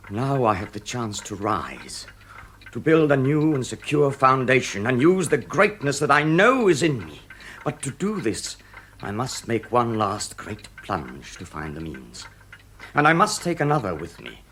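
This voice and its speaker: An actor playing a desperate, self-justifying murderer in a radio drama, brooding theatrically with sudden nervy outbursts. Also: male voice, fairly low pitch, fairly bright tone, slight background noise. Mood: brooding, intense.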